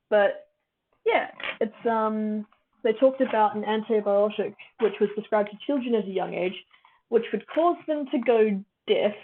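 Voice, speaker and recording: A woman's voice: mid-range voice, breathy edge. A young woman from New Zealand chatting on a livestream with a tired voice, summarizing an article and answering viewers in a chatty way with lots of fillers. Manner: chatty, laughs often, many fillers, answers chat as she goes. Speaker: livestream host. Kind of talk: casual chat with a live chat, summarizing a reading. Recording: phone-like thin stream audio.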